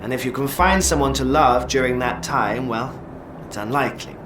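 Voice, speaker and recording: An actor playing a suave, sardonic immortal mentor in an audio drama, speaking formally and theatrically with condescending teasing. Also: male voice, mid-range voice, normal speed, background noise, close to an everyday voice. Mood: lofty, musing.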